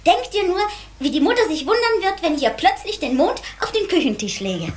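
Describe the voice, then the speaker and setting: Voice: very high voice, big pitch swings, a little fast. An actor voicing a curious, naive little water sprite boy in a children's radio play. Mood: eager wonder. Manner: bright-eyed, naive, wondering.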